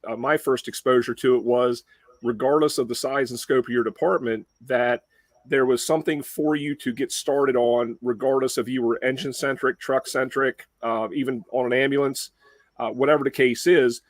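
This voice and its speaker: A man's voice: mid-range voice, steady tone, moderate pace, no echo. A man with a Mid-Atlantic Delmarva accent hosting a casual firefighter webcast, chatty with lots of uh and um. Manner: chatty, many fillers. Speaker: webcast host.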